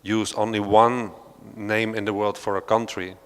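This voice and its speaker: A man's voice: fairly low pitch, little pitch movement, moderate pace, fairly bright tone, clean tone. Hesitant Belgian man in a reverberant hall. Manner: hesitant, many fillers and restarts, non-native phrasing. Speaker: panel speaker, researcher. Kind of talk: unscripted talk at a panel discussion. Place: large hall of an art centre.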